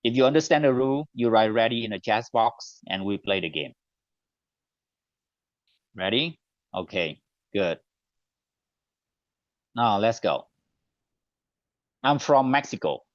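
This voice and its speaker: A man with a Vietnamese accent, whose first language is Vietnamese, leading an online teacher training session and slowing down on the phrases he models. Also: lowish voice, animated, no echo. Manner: clear, deliberate classroom delivery, slows on model phrases. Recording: dull, dry online call audio.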